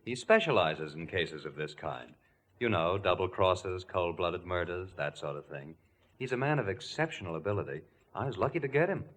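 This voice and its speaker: An actor playing a suave, sardonic murder victim in a nightmare, in 1940s radio style. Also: male voice, low voice, animated, a bit bright. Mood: gloating. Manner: smooth, sardonic, unhurried. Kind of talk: 1940s radio mystery acting.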